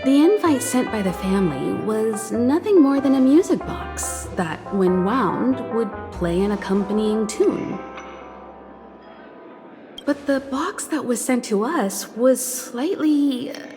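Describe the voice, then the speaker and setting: An actress voicing a composed, cautious woman who leads a space crew in a video game, speaking in a measured, thoughtful way. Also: a little high, sing-song, exaggerated voice. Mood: intrigued, explaining. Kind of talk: English video game voice acting. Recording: music playing behind the voice.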